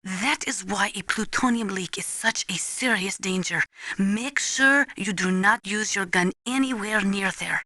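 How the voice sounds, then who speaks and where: A woman playing an earnest nuclear weapons analyst in a video game, explaining things in a measured, precise, didactic way. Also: mid-range voice, sharp tone, dry recording. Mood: cautioning. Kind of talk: scripted expository video game voice acting.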